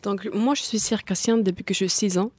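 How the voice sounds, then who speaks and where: A woman's voice: mid-range voice, fairly even intonation, moderate pace, darkish tone, no echo. A woman from Finland with a Finnish accent, a guest circus artist speaking hesitantly and searching for words in a studio podcast interview.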